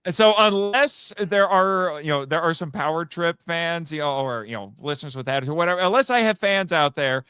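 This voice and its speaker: A man's voice: on the high side, lively intonation, brisk, few pauses, sharp tone, smooth, dry recording, studio quality. A man talking casually as a guest on a fan podcast, telling stories and acting out quoted remarks.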